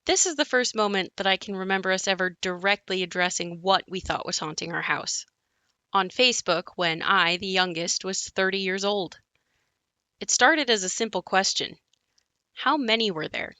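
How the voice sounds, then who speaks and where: A woman playing an adult narrator who looks back on her haunted childhood home in a scripted audio play, reflective and measured. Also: medium pitch, sharp tone, dry recording, natural voice. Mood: wry, reflective.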